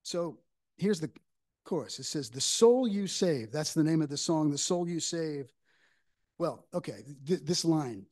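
Higher-voiced older male preacher. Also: lively intonation, a little slow, a little mellow. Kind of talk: conversational sermon. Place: church sanctuary, at a wooden lectern. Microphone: microphone on a stand at the lectern.

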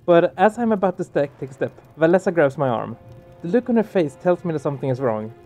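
Higher-pitched man doing game commentary. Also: very animated, fast, dark tone. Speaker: let's play streamer. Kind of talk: casual gaming commentary, reading game text aloud. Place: small room indoors. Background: game music.

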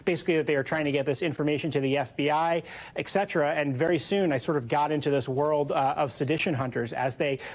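A man's voice: a little high, quick pace. An American man in his thirties, a reporter explaining things conversationally in a live news interview with loose, informal phrasing. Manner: informal, loosely hedged phrasing with "sort of" and "you know". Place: TV news studio.

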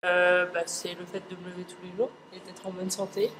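A young woman interviewed in an indoor waiting area, answering simply and in a relaxed way. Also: lowish voice, a little fast, noisy background. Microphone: handheld mic.

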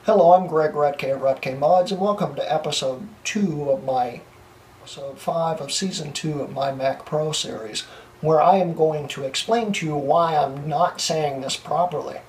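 A young man with a slightly high voice films retake after retake of a home tech video. He laughs at his own slip-ups, and his voice is growing hoarse. The camera mic sits a few feet away, so the sound is distant, with faint hiss in the small room. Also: normal speed, darkish tone. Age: young adult. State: losing his voice, coughing. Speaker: tech channel host. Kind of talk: blooper reel of a tech vlog.

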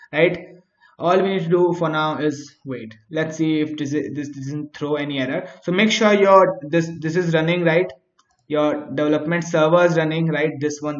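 Man explaining code in an echoey room. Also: fairly high pitch. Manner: talks through each step, often adding "right?"; frequent restarts and fillers while thinking aloud. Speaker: coding tutor. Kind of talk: screen-recorded coding tutorial. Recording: echoey, dull home recording.

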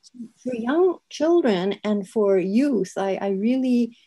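A woman's voice: on the high side, animated, takes it slowly, dark tone. An older woman speaking on a video call from a book-lined office, a panel guest talking in a thoughtful, searching way with many restarts. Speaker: artist and psychiatrist guest. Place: home office with bookshelves. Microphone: video call webcam.

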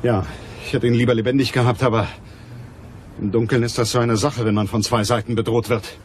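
An actor playing a curt, mocking private detective in a crime radio drama, speaking with a dry manner. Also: male voice, mid-range voice, level tone, brisk, slight background noise, close to an everyday voice. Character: hard-boiled, sardonic male private detective. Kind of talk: German crime radio drama acting and narration.

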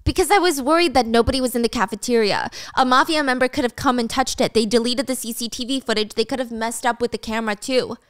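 A woman's voice: fairly high pitch, quick pace, hardly any pauses, no echo, studio quality, natural voice. A woman voicing a whiny, defensive young man who protests and pleads in a dramatic story.

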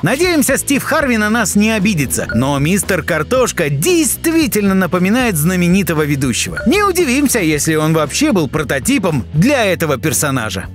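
A man's voice: high-pitched, sing-song, brisk, few pauses. A man reading scripted narration for an entertainment video, playful and exclamatory, speaking straight to the viewer. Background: background music.

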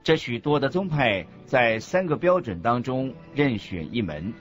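Guy narrating a buddhist teaching program, very lively intonation, instructive, music under him. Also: medium pitch, unhurried. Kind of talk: scripted didactic narration in a radio program. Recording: muffled lo-fi audio with music underneath. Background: background music.

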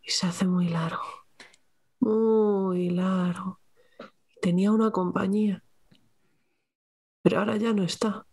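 A woman from playing a frail, lonely lifelong hospital patient, halting and plaintive, in a tabletop roleplaying game. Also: medium pitch, steady tone, mellow, breathy edge. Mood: mournful, fragile.

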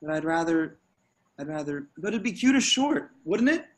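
A man playing an awkward, anxious young slacker musician in an amateur script reading, with a halting, stammering delivery. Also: fairly high pitch, sing-song. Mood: sheepish. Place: remote video call.

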